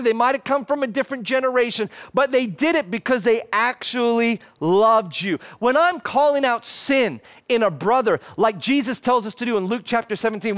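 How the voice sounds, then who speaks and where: Australian pastor in his thirties, high voice, emphatic preaching that drops to hushed moments, headset mic. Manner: emphatic, gesturing preacher who swings from fervent to hushed. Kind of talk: sermon. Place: church stage in front of a lit screen.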